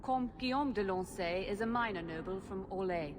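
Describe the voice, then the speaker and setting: An actress playing an emotionless, efficient mage assistant in a video game, formal and precise. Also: female voice, medium pitch, moderate pace, close to an everyday voice. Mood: detached, precise. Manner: flat affect, formal, precise. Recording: long hall reverb, clear background noise.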